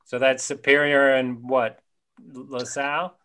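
A man in his forties hosting a podcast from his home study over a video call, friendly and quick with quips. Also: mid-range voice, level tone, pushed and bright, smooth. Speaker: podcast host. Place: home study with bookshelves, indoors. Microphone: webcam on a video call.

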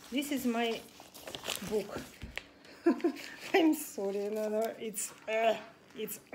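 A woman in her forties with a Russian accent, casually vlogging at a table, talking in a halting way with many fillers and restarts. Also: on the high side, darkish tone, a little hiss or hum. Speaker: massage student sharing exam tips. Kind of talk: casual talking-head vlog. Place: indoors at a table in a home room.

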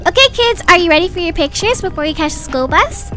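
Lady acting as doting fussy mom in a cartoon roleplay, gushy, really high lively voice. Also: fast, faint music behind, full character voice. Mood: cheerful. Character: doting, fussy young mother of twins. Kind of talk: animated doll-game roleplay voice acting.

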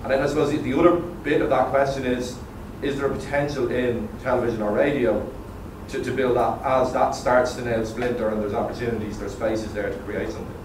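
A man's voice: mid-range voice, little pitch movement, fairly quick. A middle-aged man with a Dublin accent asking a long, considered question from the audience in a meeting room, heard from a distant camera. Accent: Dublin Irish. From Ireland. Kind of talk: question from the floor. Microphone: far camera. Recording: echoey room, distant mic, noisy.

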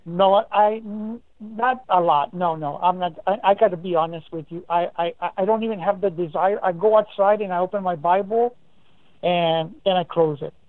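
A male caller with a high-pitched voice speaks candidly and haltingly, often repeating words. He comes through a dry, tinny phone connection. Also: dry recording. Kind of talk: confessional phone conversation.

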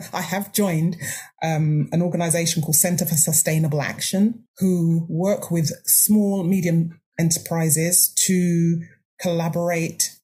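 Husky, dark-toned woman guest. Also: on the low side, fairly even intonation. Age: older. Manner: earnest, emphatic, halting restarts. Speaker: podcast guest. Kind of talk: conversational interview.

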